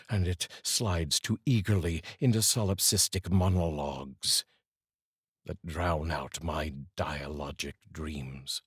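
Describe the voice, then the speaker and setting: Deep, very husky middle-aged man. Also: dry recording, studio quality. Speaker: host and voice-over reader. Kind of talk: solemn, measured poetry reading and personal address to viewers. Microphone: large studio microphone, up close.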